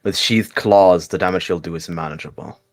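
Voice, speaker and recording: A man's voice: low voice, fairly even intonation, fairly quick, lightly acted. A man voicing a stern, disdainful male warrior cat mentor, scornful and demanding, in a roleplay story. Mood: lecturing. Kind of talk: roleplay story with self-narrated actions.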